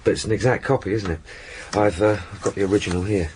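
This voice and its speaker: An actor with a British accent playing a respectful, methodical police sergeant explaining things in a radio drama. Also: male voice, lowish voice, steady tone, fast talker, darkish tone, slight background noise, natural voice. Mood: earnest, puzzled. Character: deferential, earnest male police sergeant, methodical.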